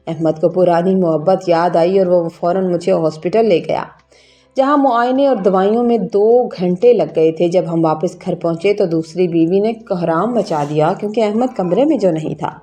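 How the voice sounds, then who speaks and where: Dark-toned woman narrating over music. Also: mid-range voice. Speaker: story narrator. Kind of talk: scripted first-person narration of an emotional story. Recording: music bed behind narration. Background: background music.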